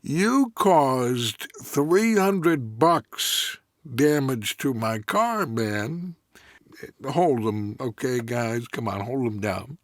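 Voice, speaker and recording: A man's voice: mid-range voice, animated, extremely slow, dry recording, studio quality. A man doing a comic movie-star impression as a swaggering, laid-back bully with a casual, menacing drawl. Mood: aggrieved. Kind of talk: comic table read celebrity impression.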